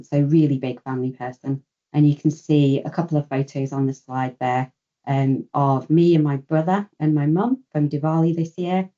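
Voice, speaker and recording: Low-voiced woman, chatty. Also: warm tone. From Bolton, England. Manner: friendly, chatty, jokey. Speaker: guest speaker. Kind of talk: presentation over a webinar. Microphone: video call. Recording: dull lo-fi video call audio.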